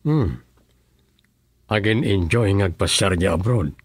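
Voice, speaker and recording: A low-voiced, composed governor. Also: male voice, lively intonation, a little slow, slightly rough, no room sound, full character voice. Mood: calm. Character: composed governor chatting with his wife. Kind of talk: Ilocano radio drama acting.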